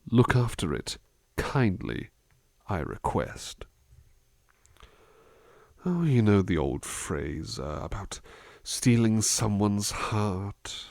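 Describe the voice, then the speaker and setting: A man playing a gentle, devoted butler in an intimate ASMR roleplay, soft-spoken, courteous and reassuring, with hesitant phrasing. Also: lowish voice, sing-song, slow pace, long pauses, no echo, studio quality, heavily acted. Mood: yearning, then musing. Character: gentle, devoted, polite butler attending to a master.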